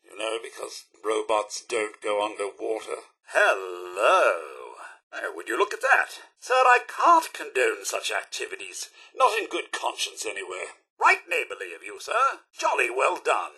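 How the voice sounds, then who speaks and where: A man's voice: on the low side, huge pitch swings, unhurried, sharp tone, husky, full character voice. A man voicing a prim, polite robot butler in a video game, with a British accent and a formal, theatrical, dryly sarcastic manner. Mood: prim, rueful, then jolly. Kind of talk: video game character voice lines.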